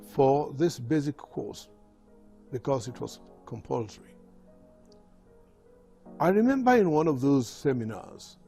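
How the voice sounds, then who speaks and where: An older man with a Nigerian accent reading his memoir aloud in a measured storytelling manner. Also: medium pitch, gravelly, dark tone. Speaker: author reading from his autobiography.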